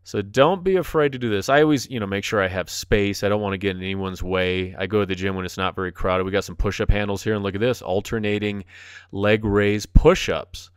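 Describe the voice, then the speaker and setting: A man's voice: lowish voice, steady tone, fairly quick, clean tone, no room sound, clean studio sound. A man in his forties giving upbeat, jokey voice-over commentary as a fitness instructor over his workout video. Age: 40s. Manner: upbeat, jokey, enthusiastic coaching.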